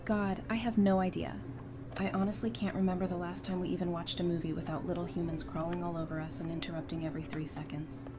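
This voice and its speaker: A woman's voice: on the low side, level tone, fast, natural voice. A woman acting a friendly, easygoing wife and mother in an audio drama, casual and playful. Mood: relaxed, fond.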